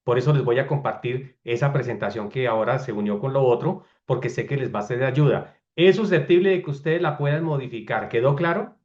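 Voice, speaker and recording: A man's voice: mid-range voice, fairly quick, few pauses, a little mellow, muffled recording. A man speaking as a friar giving an informal lecture, emphatic and often checking understanding with short questions.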